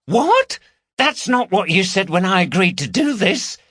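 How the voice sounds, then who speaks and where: Audiobook role: nervy female housebreaker played by a man, pitched high, swooping, urgent and scolding. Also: very animated, measured pace, a bit bright, no room sound, studio quality, heavily acted. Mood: alarmed. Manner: urgent, complaining, swearing. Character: anxious, scolding, foul-mouthed young woman burglar.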